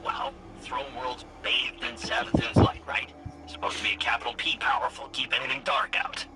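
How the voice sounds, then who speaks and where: An actor playing an eager, rueful informant in a video game, talking in a hesitant, chatty, excitable way. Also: male voice, mid-range voice, normal speed, over loud music, close to an everyday voice. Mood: nervous. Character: eager, rueful male informant pleading his good faith. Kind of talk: video game dialogue acting.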